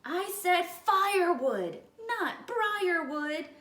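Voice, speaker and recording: A scruffy storybook varmint, acted out by a woman, gruff and ill-tempered, snarls and barks out orders. The voice sits in an echoey room. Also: extremely high pitch, lively intonation, extremely slow, pushed and bright, voice pushed up, heavily acted. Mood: furious.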